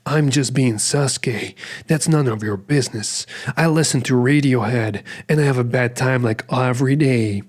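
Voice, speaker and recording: A man's voice: medium pitch, normal speed, lightly acted. A man voicing a brooding, gloomy anime rival boy, in a sullen, curt manner.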